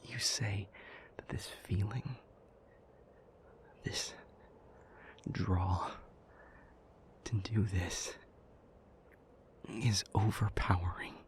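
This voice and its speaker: A man playing an intense, anguished demon in an intimate audio roleplay, speaking in breathy, halting, deliberate phrases. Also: on the low side. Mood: hushed, reasoning. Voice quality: breathy, mostly whispered. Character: intense, anguished male demon, brooding and reasoning aloud.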